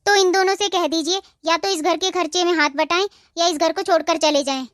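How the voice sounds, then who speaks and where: A woman playing a sharp-tongued, bossy young sister-in-law in a cartoon moral story, with a sarcastic, scolding manner. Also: extremely high pitch, steady tone, brisk, noisy background. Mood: scornful.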